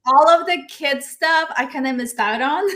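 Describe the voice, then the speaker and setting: A woman's voice: high-pitched, normal speed, a loud crowd. A woman in her thirties from Ukraine, a marketing guest on a podcast who chats casually and laughs often. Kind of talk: casual interview chat.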